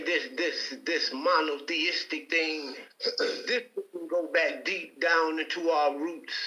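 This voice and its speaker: A man's voice: on the high side, bright, forceful tone, echoey room, poor audio. A man from Detroit giving an emphatic, preacher-like testimony in a heated debate. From Detroit, Michigan. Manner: emphatic, preacher-like, repeats for stress. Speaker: panel guest.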